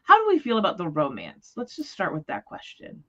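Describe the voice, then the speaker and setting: A woman with a fairly low voice hosts a casual livestream chat about books. She talks informally and tentatively, rambling a little as she goes. The audio is somewhat dull and lo-fi. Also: wildly swooping pitch, a little fast, pushed and bright. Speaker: host of a book discussion livestream. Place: remote livestream call.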